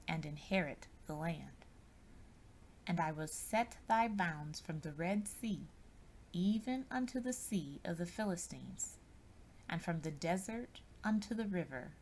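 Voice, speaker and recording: Slow, gravelly woman reading scripture. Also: lowish voice, a little mellow, slight background noise, rough recording. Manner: measured, deliberate phrasing. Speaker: scripture reader.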